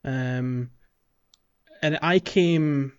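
A man co-hosting a casual gaming podcast, his voice sitting at an ordinary middle pitch. He rambles and restarts, leaning on ums and likes as he recalls things. The recording sounds muffled and lo-fi. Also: very slow, clear, resonant tone. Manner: rambling, hesitant, many fillers and restarts. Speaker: podcast co-host.

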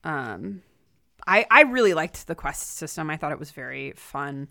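A woman's voice: sits low, big pitch swings, moderate pace, creaky, pushed and bright. A woman co-hosting a casual book discussion, talking conversationally and acting out imagined quotes to make her points. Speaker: podcast co-host discussing a graphic novel.

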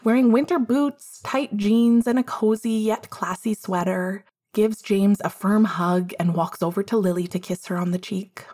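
A woman reading a story aloud as its narrator, in a measured storytelling manner. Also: medium pitch, dark tone. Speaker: fiction narrator.

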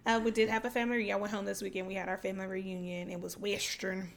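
A young woman co-hosting a casual podcast, telling stories with lots of laughter and a slightly hoarse voice. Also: medium pitch, little pitch movement, fast talker. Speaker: podcast co-host. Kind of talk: casual chat.

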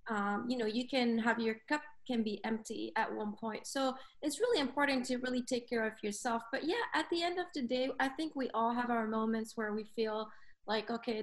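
A woman in her thirties from Lebanon, a clinical coordinator and podcast guest, speaking earnestly with many fillers. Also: on the high side. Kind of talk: casual podcast interview.

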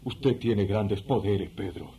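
Guy playing a pushy scheming magician in a radio play, dark mellow voice, some music behind. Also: lowish voice, slow pace. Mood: eager, wheedling. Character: Simon the magician, eager scheming man seeking power. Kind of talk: radio drama acting.

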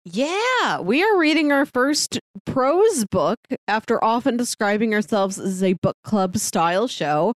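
A woman's voice: fairly high pitch, very animated, a little slow, hardly any pauses, no echo, studio quality. A woman co-hosting a podcast, explaining things in a casual, informal way as in a book club chat. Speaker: podcast co-host.

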